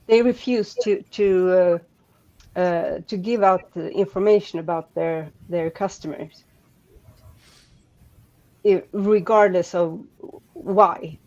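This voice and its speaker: A Swedish-accented woman talks slowly over a muffled, lo-fi call line. Her voice sits fairly low, and she rambles with frequent restarts and fillers. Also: darkish tone. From Sweden. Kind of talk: casual group chat.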